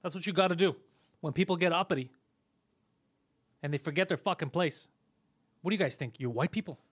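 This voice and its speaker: A man whose first language is Greek, hosting a podcast in a casual, crude chat, loud, sarcastic and mocking, swearing often. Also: on the high side, brisk, no room sound, studio quality. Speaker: podcast host.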